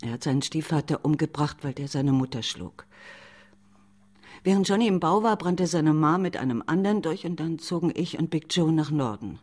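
A woman's voice: low voice, lively intonation, normal speed, a little mellow. An actress playing an older widowed woman who gives frank, matter-of-fact answers in a radio drama. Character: older widowed woman, loyal and plain-spoken. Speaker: witness. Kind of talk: German radio play acting.

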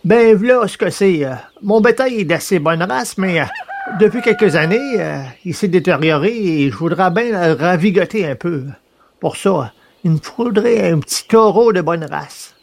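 Man acting humble country farmer, Quebec accent, high-pitched, folksy, hesitant, earnest, comic folk tale. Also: expressive, normal speed, gravelly, slight background noise. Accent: Quebec French. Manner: hesitant, folksy, rustic phrasing.

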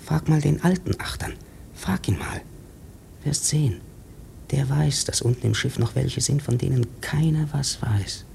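An actor playing an uneasy sailor in a radio play, speaking in a hushed, insistent and secretive way. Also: male voice, medium pitch, measured pace, vocal fry, a little hiss or hum. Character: uneasy whispering sailor, sure he hears something hidden. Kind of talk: German radio play acting.

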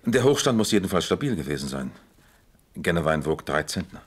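Deep-voiced, wry police captain. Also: male voice, sing-song, fairly quick, breathy edge, full character voice. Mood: wryly amused. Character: shrewd, dryly amused male police captain questioning a witness. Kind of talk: German radio crime drama acting.

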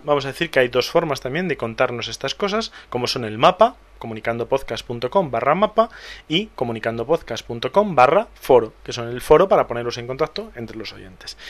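Man, podcast co-host, relaxed chatty delivery, lots of fillers and restarts, mid pitch. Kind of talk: casual chat, reading listener mail.

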